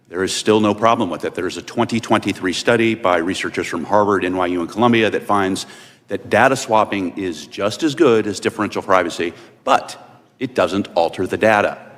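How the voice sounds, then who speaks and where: A man's voice: on the low side, fairly bright tone. A man giving formal, explanatory testimony as an expert witness. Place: large indoor hearing room. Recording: reverberant hearing room.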